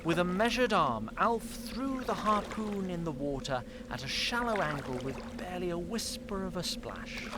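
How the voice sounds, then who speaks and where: A man narrating a scripted fantasy audio drama in a measured storytelling manner. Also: sits high, animated, background noise.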